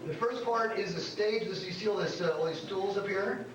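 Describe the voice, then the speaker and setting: High-voiced man hosting in an echoey club. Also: a little fast, crowd noise. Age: middle-aged. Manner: rambling, joking. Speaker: show host, comedian. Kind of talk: informal stage introduction to a live audience. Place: comedy club stage. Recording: echoey club recording from far off. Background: audience responses.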